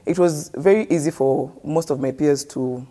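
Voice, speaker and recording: Mellow-voiced Kenyan woman telling her story. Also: sits low, moderate pace. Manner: earnest storytelling, switching between English and street slang. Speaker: guest. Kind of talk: conversational life story.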